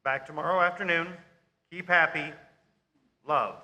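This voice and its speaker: High, very sharp male actor as formal telegram clerk, measured dictation, radio drama. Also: rough recording. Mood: businesslike. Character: businesslike telegram clerk reading out a message.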